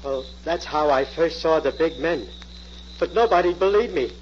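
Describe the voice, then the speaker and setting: A man's voice: fairly high pitch, normal speed, natural voice. Man playing a timid, simple-minded folksy fellow. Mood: naive, eager. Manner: hesitant, folksy, ungrammatical. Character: simple, timid, naive country fellow, easily awed. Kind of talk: 1940s radio drama acting. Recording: dull, noisy old radio recording.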